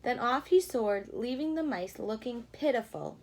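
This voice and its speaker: A woman doing an expressive read-aloud for young children, pausing to put questions to them in a teacherly way. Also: on the high side. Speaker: storyteller reading a picture book to children. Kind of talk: animated read-aloud with asides to young listeners.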